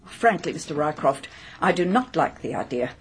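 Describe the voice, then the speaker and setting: A woman's voice: deep voice, big pitch swings, moderate pace. An actress playing a formal, disapproving middle-aged English society lady in a radio mystery, with a reluctant manner. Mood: uneasy, reluctant. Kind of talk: radio mystery drama acting. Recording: faint background noise.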